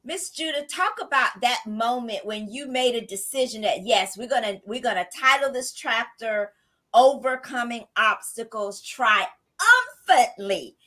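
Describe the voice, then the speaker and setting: A woman's voice: on the high side, animated, unhurried, bright, forceful tone. A woman hosting a podcast and coaching writers, talking in an effusive, enthusiastic and preacherly way. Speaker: podcast host and writing coach. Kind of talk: hosting a podcast book launch.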